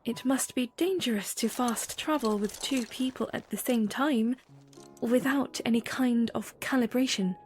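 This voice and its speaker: A woman playing an earnest, gentle young princess in an audio drama, speaking in a soft, tentative, measured manner. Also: fairly high pitch, faint music behind, natural voice. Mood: gentle, questioning.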